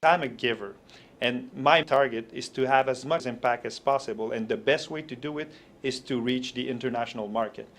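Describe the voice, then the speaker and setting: A man's voice: medium pitch, brisk, a bit bright. A man with a French-Canadian accent, a native French speaker, explaining his approach as a motivational speaker in an interview.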